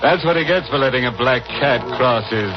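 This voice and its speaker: An actor playing a ghoulish old-time radio horror host who drawls out morbid jokes with mocking glee. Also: male voice, medium pitch, close to an everyday voice. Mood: gleeful, morbid. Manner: mocking, drawn-out, punning delivery. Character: ghoulish macabre male host cracking gleeful morbid jokes. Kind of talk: 1940s radio horror hosting. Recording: dull old radio broadcast, loud organ music.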